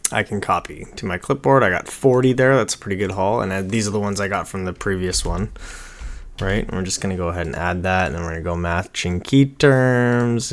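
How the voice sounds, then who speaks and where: A man in his thirties giving an informal screen-share tutorial, thinking aloud in a rambling way as he works at his computer. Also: deep voice. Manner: rambling, informal, muttering to himself while working. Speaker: online marketing tutorial host. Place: small indoor room at a computer.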